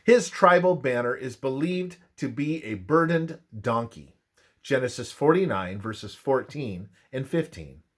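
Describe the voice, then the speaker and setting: A man reading a scripted religious devotional aloud in a measured, deliberate manner. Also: mid-range voice, animated, fairly bright tone. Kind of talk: scripted narration of a Bible study, read aloud.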